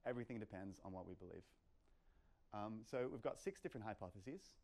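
A man's voice: lowish voice, lively intonation, brisk, creaky, a little mellow. A young man presenting informally as a lecturer, explaining emphatically and posing rhetorical questions. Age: young adult. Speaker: lecturer at a meetup. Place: lecture room, indoors.

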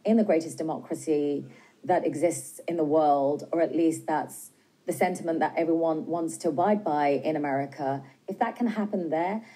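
A woman's voice: low voice, dark tone, breathy edge, poor audio. A woman in her forties with a British accent, a film director answering interview questions earnestly in a TV news studio, speaking with a lapel mic. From Britain. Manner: earnest, measured, some hesitations.

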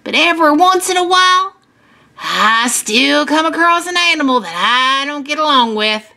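A woman's voice: sits high, lively intonation, normal speed, pushed and bright, voice pushed up, full character voice. A woman voicing a kindly, folksy male farmer in a storybook, explaining things patiently and reassuringly. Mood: confiding.

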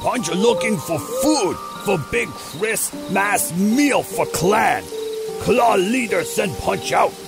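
A man's voice: high voice, expressive, slow pace, gravelly, music in the background, heavily acted. An actor playing a big, dim, childlike mutant brute who loves cooking and speaks in blunt, broken caveman grammar in an audio drama. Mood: simple, grumbling.

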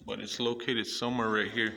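A man casually narrating a walkthrough of a dark abandoned building, rambling and repeating himself as he points things out, filmed on a handheld phone. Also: lowish voice, flat delivery, fairly quick, creaky, background noise. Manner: rambling, repetitive pointing out spots. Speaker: urban explorer vlogger. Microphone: handheld phone camera.

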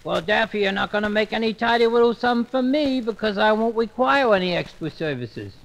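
Brief: fussy bumbling cartoon man, high and creaky. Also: animated, over music. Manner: fussy, polite, quickly exasperated. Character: mild bumbling grown man, easily flustered. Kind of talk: children's story record acting.

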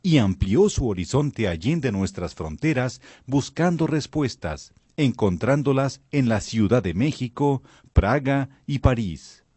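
A man reading a scripted documentary narration in a measured, expressive way. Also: medium pitch, slightly rough, dry recording.

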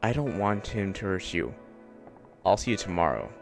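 A woman's voice: a bass voice, big pitch swings, moderate pace, vocal fry, faint music behind, voice pushed down, heavily acted. A woman voicing a brooding, protective teen boy hero in a comic dub, speaking in a grave, warning tone.

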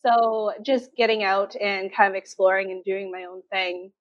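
A woman's voice: medium pitch, steady tone, moderate pace. Canadian woman, slightly muffled lo-fi sound. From Southern Ontario, Canada. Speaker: podcast guest. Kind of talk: casual interview chat.

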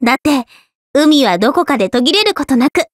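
A woman voicing an easygoing, affectionate teenage schoolgirl in an anime game, with a caring, gently teasing manner. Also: high voice, animated, a little fast, dry recording, clean studio sound. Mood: warm, fond. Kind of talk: scripted anime game voice lines.

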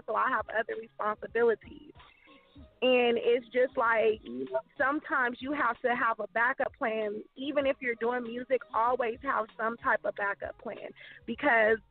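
A woman in her thirties, a guest rapper talking candidly and reflectively in a casual radio interview, laughing easily. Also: on the high side. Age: 30s. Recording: tinny phone-line sound.

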